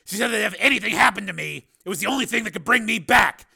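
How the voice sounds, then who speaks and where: A male narrator plays an agitated action hero in an audiobook thriller. The voice is pushed high and loud, with a hard gravelly edge. Delivery is strained, emphatic and forceful. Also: lively intonation, quick pace, full character voice. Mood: grim, urgent.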